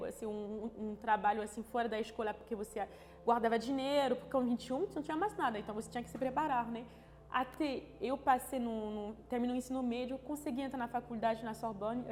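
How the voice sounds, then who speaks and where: A woman's voice: on the high side, darkish tone. Woman telling her story in an interview, stops and restarts a lot, echoey with music behind. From France. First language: French. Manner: halting, searching for words, frequent restarts and tag questions. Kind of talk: personal testimony interview. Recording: long reverb with music underneath.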